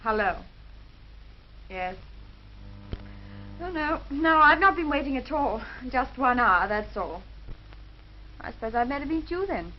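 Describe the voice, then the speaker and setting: Put-upon young woman, high clipped voice, arch, 1930s film phone scene, hissy recording. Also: animated, measured pace, long pauses. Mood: sarcastic, piqued. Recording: noisy, dull 1930s film soundtrack.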